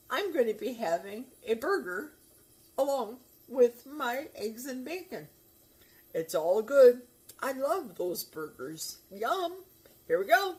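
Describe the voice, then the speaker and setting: An older woman chatting casually to her camera while cooking at home, encouraging and a little wry. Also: on the high side. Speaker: vlogger. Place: home kitchen. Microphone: handheld camera.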